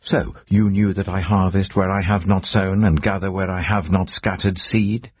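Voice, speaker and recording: A man voicing a stern, wealthy master who speaks in a severe, reproachful, deliberate way in a dramatized scripture reading. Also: sits low, steady tone, darkish tone, voice pushed up. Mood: stern rebuke. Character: stern wealthy master rebuking a servant, male.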